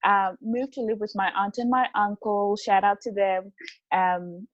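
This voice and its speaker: A woman's voice: medium pitch, steady tone, a little slow, no room sound, muffled recording. A woman, a podcast guest chatting casually and playfully. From Zimbabwe. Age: 20s. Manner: playful and chatty, laughs often. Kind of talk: casual chat.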